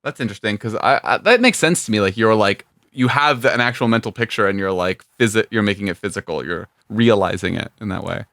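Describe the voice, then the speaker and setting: A man's voice: fairly low pitch, fast talker, hardly any pauses, a bit bright, dry recording, clean studio sound. A man co-hosting a casual podcast who thinks aloud, hedging his guesses and joking. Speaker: podcast co-host.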